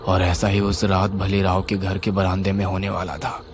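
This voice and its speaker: A man narrating a scripted horror tale in a dramatic, suspenseful and expressive style. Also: low-pitched, normal speed, noisy background. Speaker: storyteller, narrator. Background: music.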